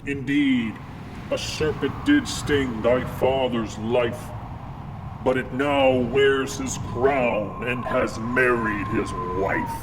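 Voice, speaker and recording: Storybook ghost of a murdered royal father, male narrator acting: mournful, solemn, very drawn-out delivery, noticeable noise behind. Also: mid-range voice, natural voice. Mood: grim, moaning. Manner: slow, solemn, drawn-out moaning.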